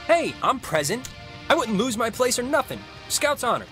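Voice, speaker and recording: High-voiced, goofy teenage boy. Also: normal speed, faint music behind, full character voice. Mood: playful. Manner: playful, jokey, excitable. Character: chatty, goofy, eager teenage boy, cheeky joker. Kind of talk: naturalistic video game voice acting.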